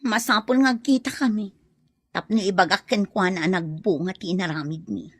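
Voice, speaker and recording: A woman's voice: mid-range voice, moderate pace, husky, dry recording. A woman playing an earnest, lovestruck young single mother in an Ilocano audio drama, with a plaintive delivery. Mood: anxious, pleading.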